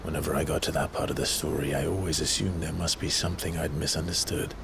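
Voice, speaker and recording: A man playing a brooding, earnest noble warrior in a video game, talking in a quiet, reflective way. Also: extremely low pitch, fairly even intonation, fast talker, gravelly, background noise. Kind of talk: dramatic video game cutscene acting.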